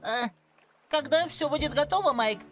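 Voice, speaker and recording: A woman's voice: high voice, expressive, moderate pace. A woman voicing a mischievous, apologetic little boy with a pleading manner in a cartoon dub. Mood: sheepish.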